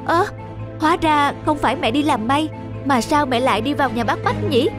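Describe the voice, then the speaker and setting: A woman's voice: sits high, moderate pace, over music, lightly acted. A woman voicing an anxious, devoted teenage schoolboy son in a cartoon, speaking earnestly and pleadingly. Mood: suspicious, upset. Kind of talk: animated moral-tale dubbing.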